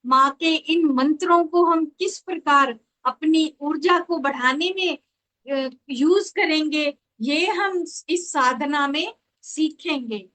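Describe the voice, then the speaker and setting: A woman giving a devotional lecture as a spiritual teacher, in a measured, devout, instructive manner. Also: high-pitched, fairly bright tone, poor audio. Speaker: spiritual teacher and astrologer leading an online session. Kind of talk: devotional lecture presenting with slides.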